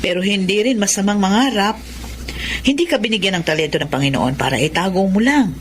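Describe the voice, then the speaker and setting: An actress playing a caring, devout, encouraging wife in a Filipino drama, speaking in an earnest, urging way. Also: female voice, mid-range voice, animated, a little fast, noisy background, natural voice.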